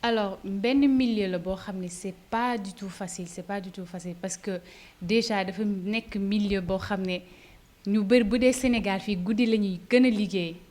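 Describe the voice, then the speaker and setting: A young woman from Senegal, a model, chatting in a relaxed interview with frequent fillers. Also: medium pitch, normal speed. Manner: chatty, laughs easily, hesitant fillers. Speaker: fashion model, interview guest. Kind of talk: casual interview, mixing Wolof and French.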